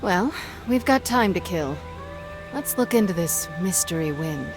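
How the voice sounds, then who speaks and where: A woman playing a confident, scheming pirate captain in a video game, brisk, wry and self-assured. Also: fairly low pitch, expressive. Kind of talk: dramatic video game acting. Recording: game music behind the voice. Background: game music.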